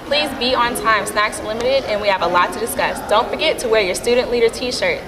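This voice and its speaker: A woman's voice: on the high side, brisk, long reverb tail, crowd noise. A teenage girl co-anchoring school announcements as a student news anchor. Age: teens. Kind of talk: school announcements read aloud. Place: school gym, indoors.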